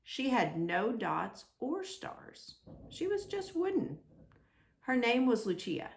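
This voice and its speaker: A woman reading aloud to children in an expressive storytelling style, with deliberate emphasis on simple phrases. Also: fairly high pitch, gravelly, a little hiss or hum.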